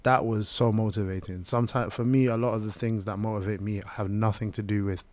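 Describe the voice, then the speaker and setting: A man from Zimbabwe, a podcast co-host, chatting in a relaxed, rambling way with many ums and likes. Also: lowish voice, a little fast. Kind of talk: casual podcast chat.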